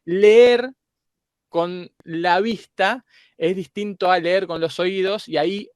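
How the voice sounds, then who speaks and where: Higher-voiced Argentine man explaining thoughtfully. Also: slow, clear, resonant tone, no echo, clean studio sound. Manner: didactic, hesitant word-searching. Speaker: guest academic.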